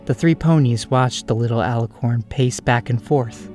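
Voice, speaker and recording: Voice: medium pitch, normal speed, a little mellow. A narrator reading scripted storybook prose for an audio drama, over music.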